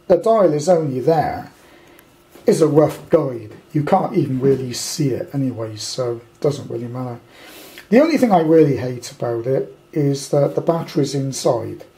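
An older British man with a fairly high-set, soft-edged voice. He talks off the cuff in a casual hands-on demonstration, wandering from point to point with blunt grumbles. The tone stays dark and relaxed. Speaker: amateur radio hobbyist presenting a kit. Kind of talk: casual unscripted show-and-tell. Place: indoors at a tabletop at home.

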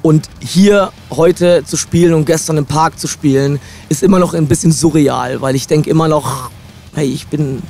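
A hoarse young man, a guest musician, talking in a relaxed, reflective way into a handheld mic inside a van. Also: a little high, normal speed. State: hoarse from shouting. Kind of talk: casual interview.

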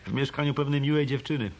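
An actor playing a shrewd hard-boiled detective in a radio drama, speaking in a dry, deliberate, probing way. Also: male voice, on the high side, fairly even intonation, a little hiss or hum, lightly acted. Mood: accusing. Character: shrewd hard-boiled male hotel detective turned private eye. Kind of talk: Polish radio drama dialogue.

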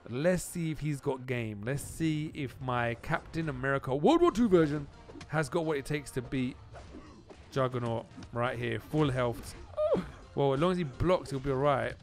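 Male gaming channel host, average pitch, slangy excited play-by-play that repeats itself, music underneath. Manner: exclaims and repeats phrases, informal slang. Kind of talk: excited gameplay commentary. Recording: music behind the voice.

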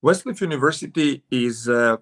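A man's voice: mid-range voice, expressive, normal speed, a bit bright. A man in his forties from Serbia with a Serbian accent, a futsal coach speaking hesitantly with many fillers on a video call from home. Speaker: futsal head coach, guest. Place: room at home with curtains.

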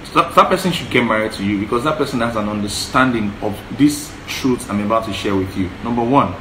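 A man's voice: fairly low pitch, quick pace. A man in his thirties with a Yoruba accent, co-hosting a casual advice chat, talking earnestly and emphatically and repeating points. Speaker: co-host. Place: living room, indoors. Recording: echoey room, faint hiss.

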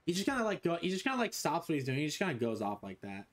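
A man's voice: a little high, big pitch swings, very fast. A young man streaming a game from a small room, joking crudely and rambling with his chat. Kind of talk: live game stream commentary.

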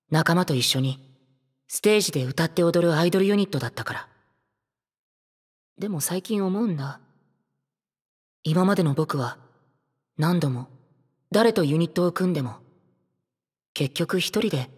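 A man's voice: on the high side, slightly rough, no echo, studio quality. An actor playing a cheeky, cute teenage boy idol in an audio drama, boyish and playful. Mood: wistful, reflective. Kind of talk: Japanese audio drama acting.

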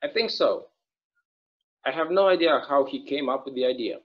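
A man's voice: medium pitch, normal speed, natural voice. Guy doing a chatty small town bartender, folksy rambly stage play read, kinda muffled call audio. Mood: reminiscing. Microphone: video call microphone. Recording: dull, lo-fi video call audio.

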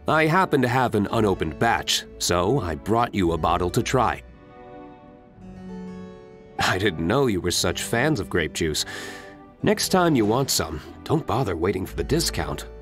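A brooding young nobleman from a fantasy game. He speaks in a low register with expressive swings, composed, formal and self-assured, with music playing behind him. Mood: calm, generous. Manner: composed, formal, measured delivery. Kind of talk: video game character dialogue. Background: background music.

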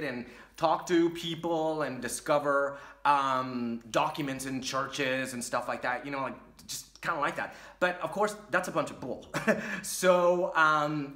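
A man in his thirties from Puerto Rico, telling a story to camera in a casual, animated way while gesturing a lot. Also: mid-range voice, reverberant. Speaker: vlogger. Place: small dim room with curtain behind.

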